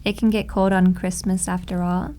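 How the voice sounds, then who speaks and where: A woman's voice: fairly low pitch, flat delivery, moderate pace, warm tone, slight background noise, close to an everyday voice. A woman acting as a doting, possessive maid girlfriend in an ASMR roleplay, cooing and confidently teasing.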